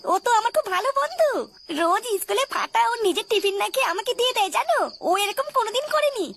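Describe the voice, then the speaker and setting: A woman's voice: very high voice, lively intonation, fast, creaky, fairly bright tone, a little hiss or hum, full character voice. A woman voicing an innocent little schoolgirl in a cartoon, talking simply and earnestly. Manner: simple, earnest childlike talk. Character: innocent, frightened little schoolgirl, sweet and truthful.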